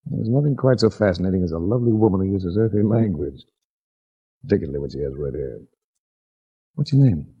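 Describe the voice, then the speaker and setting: A dull 1940s radio recording carries a low-voiced hard-boiled investigator. He is dry and measured, with a husky edge and a wisecracking manner. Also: animated, mellow, heavily acted. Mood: wry, flirting. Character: wry hard-boiled investigator hero, smooth with women. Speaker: lead actor and narrator. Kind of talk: 1940s radio detective drama acting.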